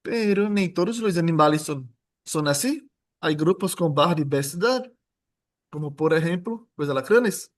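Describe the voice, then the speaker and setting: A man with a Brazilian Portuguese accent lectures at a seminar, loose and jokey, tripping over words and restarting. His voice sits a little high for a man. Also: lively intonation. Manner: informal, joking, halting with restarts. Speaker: guest lecturer. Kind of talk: academic seminar talk.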